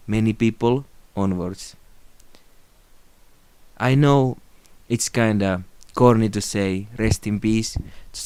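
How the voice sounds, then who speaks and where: A young Finnish man speaks calmly and reflectively into a close phone or webcam in a small room. His voice is smooth and clear, at a middle pitch. The sound is dry, with no room echo. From Finland. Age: young adult. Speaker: video creator. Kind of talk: unscripted tribute talk to camera.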